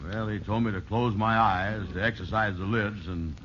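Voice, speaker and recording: An actor playing a gullible, slow-witted, blustering man in an old-time radio sitcom. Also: lowish voice, steady tone, fairly bright tone, close to an everyday voice. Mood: naive, explaining. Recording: dull, noisy vintage radio recording.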